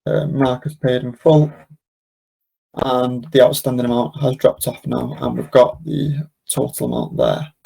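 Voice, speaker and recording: A man presenting an informal step-by-step software tutorial, explaining as he goes in an unscripted, hesitant way with frequent ums. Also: mid-range voice, expressive, unhurried, creaky, husky, poor audio. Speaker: presenter of a software demonstration webinar.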